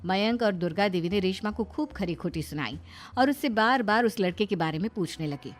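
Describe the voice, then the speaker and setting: A woman's voice: medium pitch, expressive, brisk, soft background music. A woman storyteller reading scripted narration for a cartoon moral tale.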